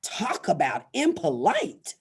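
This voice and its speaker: A woman's voice: a little high, huge pitch swings, slow pace, creaky, husky. A woman narrating a scripted story in reader's theater style.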